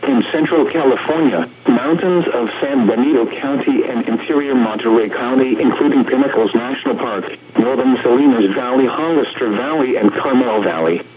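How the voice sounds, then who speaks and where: A man's voice: medium pitch, moderate pace, hardly any pauses. Automated weather radio announcer: American man, synthetic and impersonal, reading scripted bulletins in segments, muffled radio signal with faint noise.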